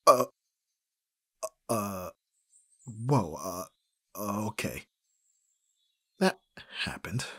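Husky, low-voiced, flustered young man, acted. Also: a bit bright, dry recording, full character voice. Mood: stunned, embarrassed. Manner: hesitant, sighing, stammering. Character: flustered, awkward young man, listener's best friend. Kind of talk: ASMR roleplay, one-sided intimate dialogue.

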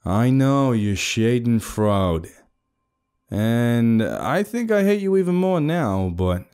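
A man's voice: mid-range voice, very animated, slow, clear, resonant tone. A man voicing a defiant, foul-mouthed young man who talks back to his captors. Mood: sarcastic. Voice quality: loud and profane, shouting.

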